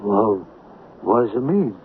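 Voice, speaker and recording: An actor playing a brash, slangy American hustler turned repentant millionaire in a 1970s radio drama. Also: male voice, on the low side, sing-song, takes it slowly, slightly rough, exaggerated voice. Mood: agitated. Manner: slangy, emphatic. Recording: tinny, hissy old radio broadcast.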